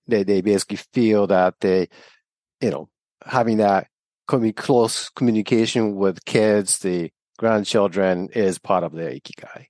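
A man of about fifty with a Japanese accent, a native Japanese speaker talking as a podcast guest in a halting, reflective way. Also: lowish voice, darkish tone, no room sound. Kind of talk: casual interview conversation.

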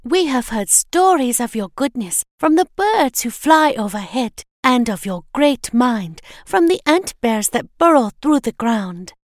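Woman voicing a cheerful, high-voiced little farmer. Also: sing-song, measured pace, darkish tone, no room sound, studio quality, heavily acted. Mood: happy, welcoming. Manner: cheerful, welcoming. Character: kindly, happy little farmer welcoming a guest. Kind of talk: storybook character voice.

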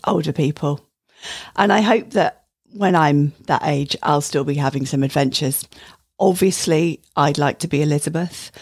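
A woman's voice: low voice, a little mellow, breathy edge, no echo, studio quality. A woman giving a prepared book review as a podcast guest, speaking in a reflective, personal way. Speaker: advanced clinical practitioner, podcast guest.

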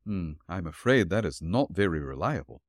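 A man voicing a calm, shrewd detective who questions dryly and evenly. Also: deep voice, no room sound, pitched below the performer's own voice, full character voice. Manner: measured, dry questioning.

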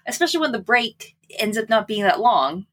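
A woman's voice: on the high side, big pitch swings, a little fast. A woman co-hosting a podcast, casually recapping a book with wry, sarcastic asides. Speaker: podcast co-host.

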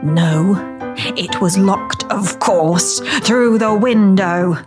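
A woman's voice: mid-range voice, normal speed, close to an everyday voice. An irate, contemptuous man from next door, as acted by a female narrator. He sneers and blusters his way through an audiobook tale, with music in the recording. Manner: contemptuous, sneering, prone to screaming. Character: angry, sneering, foul-mouthed male neighbour.

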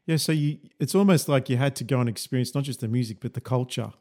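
A man's voice: medium pitch, fast, darkish tone. A man interviewing the guests, encouraging them with frequent "yeah" and asking long questions he often restarts. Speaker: interviewer, musician.

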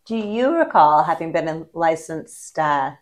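Woman, interview host, low-pitched, encouraging, frequent affirmations. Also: expressive, unhurried. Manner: encouraging, frequent short backchannels. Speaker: podcast host. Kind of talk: interviewing a guest.

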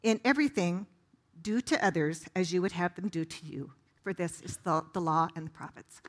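A woman's voice: lowish voice, moderate pace. A woman reads scripture aloud as a volunteer reader.

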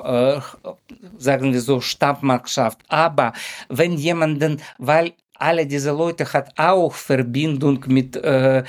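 A middle-aged or older man, a non-native speaker with a Ukrainian accent, answering interview questions haltingly as he searches for words. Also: medium pitch. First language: not native in German. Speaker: sports doctor, interview guest. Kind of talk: conversational interview.

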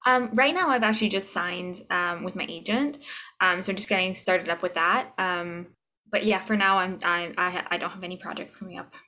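A woman's voice: lowish voice, moderate pace, pushed and bright. A woman chatting casually as an interview guest, hesitant but effusive. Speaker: voice actor being interviewed.

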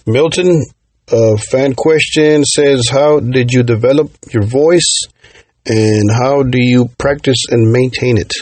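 A man's voice: mid-range voice, takes it slowly, a little mellow, no echo. A man hosting an interview, reading out fan questions and praising his guest with a fan's enthusiasm.

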